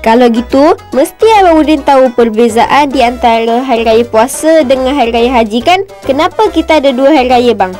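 A woman's voice: high-pitched, a little fast, hardly any pauses, a little mellow, soft background music, close to an everyday voice. A woman voicing an inquisitive, cheeky little child in a cartoon, pert and questioning, with a Singaporean Malay accent. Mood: curious. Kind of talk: cartoon voice acting.

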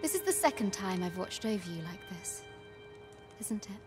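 A woman playing a caring young villager in a fantasy game, with soft, archaic formal speech. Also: medium pitch, big pitch swings, normal speed, close to an everyday voice. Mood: tender, concerned. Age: young adult. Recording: music behind the voice.

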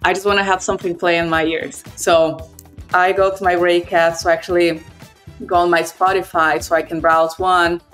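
A woman's voice: fairly low pitch, normal speed, faint music behind. Brazilian Portuguese woman chatting on a call. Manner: relaxed, conversational, frequent "like" fillers. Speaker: developer relations guest. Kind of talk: casual video call chat. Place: home room. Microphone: video call.

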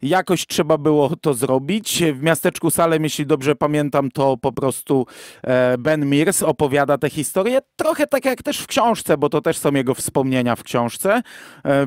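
A man's voice: a little high, no room sound, clean studio sound. A man co-hosting a podcast, giving a casual, informal and matter-of-fact review. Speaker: podcast co-host.

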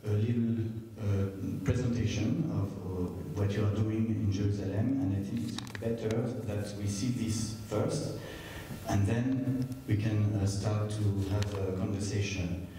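A man's voice: on the low side, steady tone, unhurried, dark tone, a little hiss or hum. A man in his fifties with a French accent, moderating a stage talk into a handheld mic, hesitant with many fillers. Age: 50s. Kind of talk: public stage conversation. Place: large theater stage. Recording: echoey hall from far off.

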